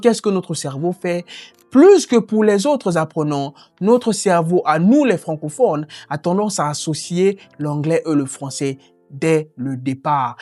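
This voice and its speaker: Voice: medium pitch, darkish tone, dry recording. Narrateur posé d'une leçon d'anglais. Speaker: language teacher. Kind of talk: scripted French lesson narration.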